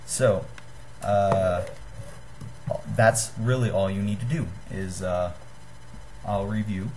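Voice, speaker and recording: A man's voice: slow pace, a little mellow. A young man giving a casual, unscripted tutorial to a webcam in a small room, in a hesitant, apologetic, self-deprecating manner. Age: young adult. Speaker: DIY video maker giving a tutorial. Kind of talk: casual unscripted how-to talk. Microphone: built-in webcam at desk distance. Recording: noisy low-grade webcam microphone.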